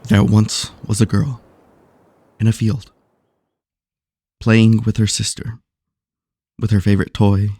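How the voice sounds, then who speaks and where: A man plays a noble superhero burdened by anguish in an audio drama. His low voice is solemn and halting, with long, slow phrasing. The sound is very dry. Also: monotone, dark tone, dry recording. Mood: solemn, weary.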